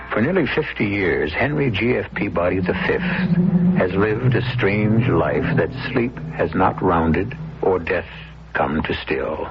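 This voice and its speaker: A man hosting a radio drama, delivering a scripted, theatrical introduction in a solemn, measured, portentous manner. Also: low voice, gravelly, noisy background. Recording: muffled old radio with loud music.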